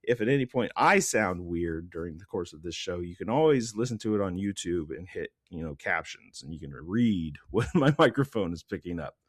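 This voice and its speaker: A man's voice: sits low, animated, normal speed, few pauses, no echo, studio quality. A man hosting a casual interview podcast in an informal way, his voice stuffy and congested. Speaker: podcast host.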